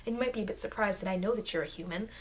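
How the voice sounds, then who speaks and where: A woman's voice: medium pitch, very fast, lightly acted. A woman playing a friendly, flustered, eager vampire girl in an ASMR roleplay, speaking in an awkward, hesitant, rambling way. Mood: excited, chatty. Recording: noisy amateur microphone, background hiss.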